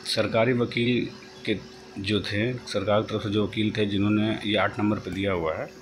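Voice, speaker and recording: A man giving an informal explanatory update, halting and rephrasing as he goes, mixing in English terms. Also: fairly low pitch, steady tone. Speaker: host of a job-news channel. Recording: noisy home-made voice-over, background noise.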